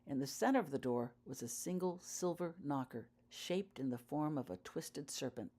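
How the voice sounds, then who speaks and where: A woman's voice: low voice, animated, moderate pace, mellow, husky. A woman reading her own fiction aloud as audiobook narration, telling the story in character-focused prose.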